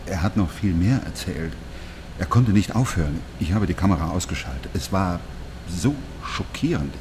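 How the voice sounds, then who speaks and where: An actor playing an earnest, troubled East German professor in a radio drama, hesitant at times and emphatic at others. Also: male voice, sits low, expressive, moderate pace, noisy background. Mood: appalled.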